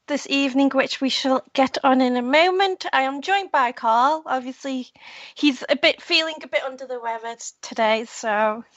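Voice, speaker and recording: A woman with a high, bright voice hosts an online radio show with a loose, giggly manner. Her audio is dry and close but slightly muffled and lo-fi. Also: moderate pace, no room sound. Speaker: host.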